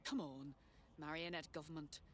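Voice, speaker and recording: A woman's voice: low voice, steady tone, moderate pace, a little hiss or hum, natural voice. A woman playing a paranoid conspiracy theorist in a video game, talking in an insistent, emphatic manner. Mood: suspicious, insistent. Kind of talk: video game cutscene dialogue.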